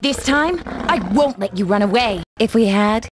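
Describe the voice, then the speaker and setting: A woman's voice: fairly high pitch, animated, normal speed, noisy background, natural voice. A woman voicing a confident, menacing female hedgehog fighter in video game battle clips, with a cool, taunting, theatrical manner.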